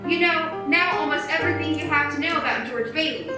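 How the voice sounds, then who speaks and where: High-voiced woman narrating a radio play. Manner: measured storyteller. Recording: echoey room from a distance with music.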